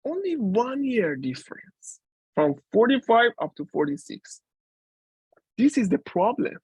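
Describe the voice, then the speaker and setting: Slow, halting man lecturing. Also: extremely high pitch, sing-song, a little mellow, breathy edge, dry recording, rough recording. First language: Persian. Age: 40s. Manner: halting, with many restarts and fillers. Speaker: guest lecturer. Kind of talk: university lecture.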